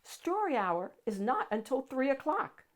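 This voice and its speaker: A woman's voice: sits high, very animated, unhurried, pitched above the performer's own voice. A woman voicing a stern, rule-bound female head librarian from a picture book, firm, clipped and commanding. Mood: firm, conceding.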